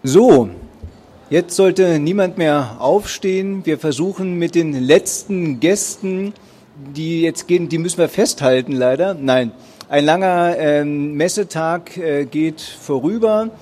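A man's voice: fairly high pitch, slow, mellow. A tired man with a Berlin accent presenting books at a fair, speaking hesitantly with many ums and uhs. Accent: Berlin German. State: tired after a long fair day. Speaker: publisher presenting at a book fair stage. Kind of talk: spontaneous presentation to an audience. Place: book fair hall.

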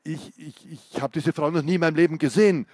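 A desperate male prisoner, put on by a man reading from his book, shouts and stammers his denials. The voice rides high and cracks with panic. It sounds dry and close, with no room around it. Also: brisk, slightly rough, no echo. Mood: stammering, panicked. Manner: shouting, stammering, breathless protests. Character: frightened, furious male prisoner under interrogation.

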